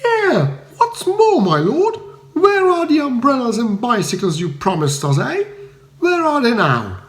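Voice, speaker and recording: A man's voice: very high-pitched, huge pitch swings, a little slow, reverberant, voice pushed up, full character voice. A man voicing rowdy, indignant Cockney commoners who heckle in angry slang. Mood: mocking, threatening.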